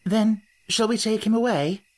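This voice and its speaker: A polite young girl from a children's storybook speaks in a high, prim voice, as a reader puts her on. Her pitch is raised well above the narration. She sounds earnest and well-mannered. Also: normal speed, close to an everyday voice.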